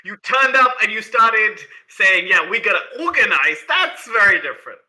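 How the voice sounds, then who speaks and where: A woman's voice: medium pitch, very animated, normal speed, bright, forceful tone, poor audio. A woman playing a tabletop game, improvising in character with repetitive, exasperated interjections.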